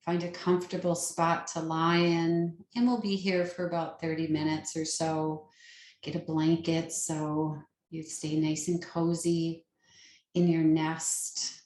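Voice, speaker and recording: Woman, meditation guide, very slow pace, lowish pitch, gentle soothing instruction, long pauses. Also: level tone, a little hiss or hum. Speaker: meditation guide, yoga nidra teacher. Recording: roomy, echoing sound.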